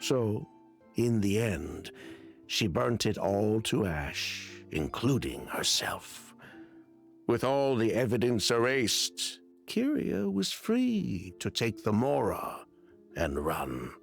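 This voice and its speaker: An actor playing a grave, rueful businessman in a video game, telling his story in a measured, dramatic way. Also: fairly low pitch, very animated, vocal fry, exaggerated voice. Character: grave, rueful businessman confessing past schemes. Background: soft game music.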